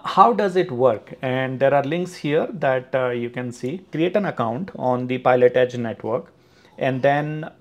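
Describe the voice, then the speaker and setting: A man narrates a flight-sim tutorial over a screen recording. His voice sits at an ordinary middle pitch, and he explains things steadily and plainly, pausing now and then on a filler. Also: a little mellow. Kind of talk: screen-recorded website walkthrough.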